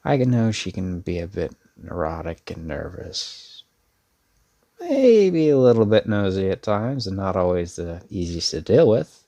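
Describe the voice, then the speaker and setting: A man playing a sincere, protective brother in an intimate ASMR roleplay, speaking hesitantly and earnestly with a creaky voice. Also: sits low, big pitch swings, warm tone, exaggerated voice. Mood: sincere, grateful. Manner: hesitant, earnest, with trailing pauses. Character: protective older brother, a laid-back but sincere young man. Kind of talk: ASMR audio roleplay, intimate one-sided dialogue.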